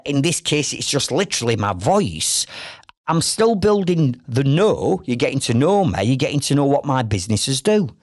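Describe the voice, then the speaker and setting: A middle-aged Yorkshire man talks straight to his listeners as a podcast host, pressing his points with one rhetorical question after another. His voice sits a little high for a man and swings up and down with lively energy. The sound is dry and close. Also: no echo. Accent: Northern English, Yorkshire. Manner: direct, persuasive, full of rhetorical questions. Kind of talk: solo business podcast monologue.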